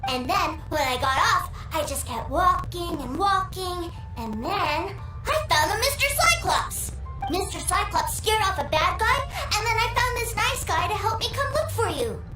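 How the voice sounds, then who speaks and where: A woman's voice: very high-pitched, big pitch swings, measured pace, far from the mic, exaggerated voice. A woman playing an innocent, adventurous little boy who speaks in an earnest, childlike way in a game. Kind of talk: scripted game character dialogue. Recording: roomy game audio under loud music.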